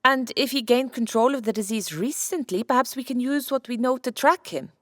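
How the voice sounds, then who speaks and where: A woman playing a brisk, clinical scientist wife in an audiobook drama, matter-of-fact and firm. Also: a little high, dry recording, studio quality, natural voice. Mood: clinical, analytical. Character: Santa's brisk, clinical scientist wife, immortal, coolly determined.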